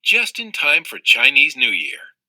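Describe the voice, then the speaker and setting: A man's voice: medium pitch, huge pitch swings, unhurried, bright, forceful tone, no room sound, exaggerated voice, poor audio. A man voicing an earnest, determined young schoolgirl in a read-aloud children's story. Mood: happy.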